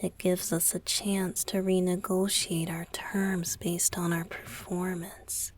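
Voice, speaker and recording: A woman voicing a tender, playful young male pop idol boyfriend in an intimate ASMR roleplay, soft, breathy and often whispering, with a hesitant, affectionate delivery. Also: on the low side, flat delivery. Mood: soft, earnest. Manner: slow, hesitant, affectionate, coy.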